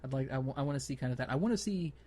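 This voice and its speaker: A man hosting a casual gaming podcast, conversational and a little halting as he thinks aloud. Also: medium pitch, warm tone. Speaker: podcast host. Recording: faint background noise.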